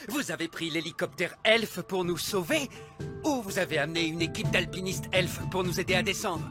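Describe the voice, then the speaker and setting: A man's voice: sits high, normal speed, sharp tone, soft background music. A man playing a sensible, slightly stern elf father in a cartoon, explaining things in a matter-of-fact, pessimistic way. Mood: brisk.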